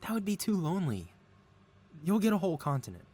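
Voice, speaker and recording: A man playing a possessive mad scientist supervillain boss in an ASMR audio roleplay, talking in a soothing, coaxing, flattering way as if innocent. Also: high voice, fairly quick, slightly rough, exaggerated voice. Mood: adoring, coaxing. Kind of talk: ASMR audio roleplay, intimate one-sided dialogue.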